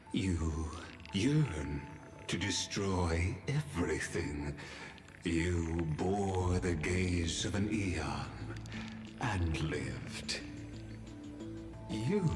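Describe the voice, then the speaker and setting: A menacing godlike entity from a video game. The voice is low and extremely slow, each solemn pronouncement weighed out deliberately. It echoes, with music clearly behind it. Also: male voice, heavily acted. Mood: ominous, grave. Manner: grandiose, deliberate, ominous pronouncements. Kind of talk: scripted video game cutscene acting. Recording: echoing voice under clear music.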